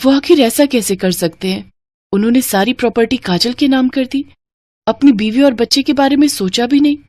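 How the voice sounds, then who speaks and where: A woman's voice: on the high side, moderate pace, a little mellow, no room sound, studio quality, pitched above the performer's own voice, natural voice. A woman voicing a greedy, cruel sister-in-law in a cartoon moral story, scolding and commanding. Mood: indignant scheming.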